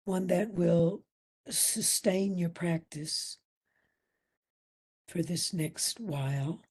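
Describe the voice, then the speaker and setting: A woman leading a guided meditation as instructor, soft and breathy, soothing and gentle, leaving long pauses between phrases. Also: lowish voice. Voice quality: soft, breathy, at times near whisper.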